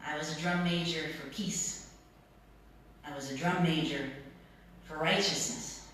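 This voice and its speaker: A woman pastor giving a sermon in a church, phrasing it in a measured way with deliberate repetition. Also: sits low, fairly even intonation, a bit bright. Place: church sanctuary. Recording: echoey church, distant mic, faint noise.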